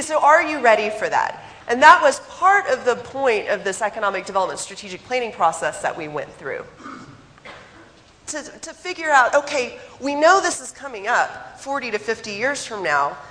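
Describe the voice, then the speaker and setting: A woman with a hoarse voice from a cold, giving a conference presentation and putting direct questions to her audience. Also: medium pitch, fairly bright tone, slight background noise. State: hoarse, getting over a cold. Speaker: economic development consultant presenting. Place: conference hall. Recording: long hall reverb.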